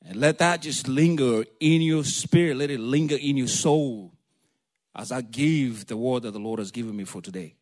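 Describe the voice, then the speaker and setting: A man from Africa, a preacher giving a sermon in a lively, joking, storytelling way and calling for amens. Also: medium pitch, normal speed.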